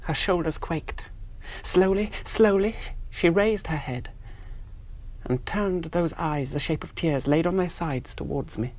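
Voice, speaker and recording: An actor playing an earnest, priggish young rationalist hero, narrating a radio drama in precise, fastidious literary phrases. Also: male voice, a little high, a little mellow, rough recording. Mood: wondering.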